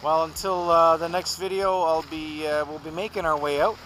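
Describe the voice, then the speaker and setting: A middle-aged man narrating a canoe trip vlog outdoors, talking in a relaxed, rambling way with many fillers, recorded on an action camera. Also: fairly high pitch, normal speed. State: walking while carrying a pack. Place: outdoors on a windy lakeshore and forest trail. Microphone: action camera held or worn. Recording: wind noise on action camera.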